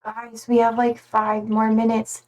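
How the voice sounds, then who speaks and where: A woman's voice: fairly high pitch, monotone, a little slow, dull audio. A young woman streaming live, chatting casually and reading out viewer comments in a slangy, rambling way full of "like".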